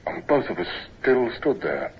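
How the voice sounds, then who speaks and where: An actor playing an older naval captain who narrates memories of his youth in a measured, dramatic radio style. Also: medium pitch, very animated, close to an everyday voice. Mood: tense. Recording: muffled, noisy old radio recording.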